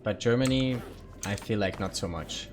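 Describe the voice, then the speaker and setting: A man's voice: fairly low pitch, moderate pace, background noise. A young man, a native Hungarian speaker, chatting casually with viewers while streaming a game, full of fillers and asides. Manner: chatty, answers viewer chat, many fillers. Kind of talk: casual chat while gaming.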